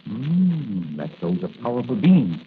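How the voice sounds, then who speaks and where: An actor playing a kindly, fretful doctor, hesitant and concerned, in 1940s radio style. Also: male voice, medium pitch, sing-song, measured pace. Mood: curious, concerned. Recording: tinny, crackly old radio recording.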